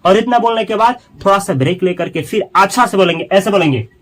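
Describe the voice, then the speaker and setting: A man with a high voice teaches a spoken English lesson. He explains in Hindi and says each English model sentence slowly and carefully. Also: a bit bright.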